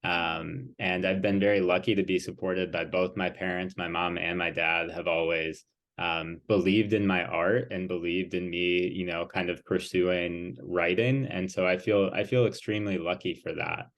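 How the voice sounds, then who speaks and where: Deep-voiced guy answering interview questions, thoughtful, lots of pauses and ums. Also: flat delivery, a bit bright. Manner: thoughtful, hesitant, many fillers. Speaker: author and guest. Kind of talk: interview conversation.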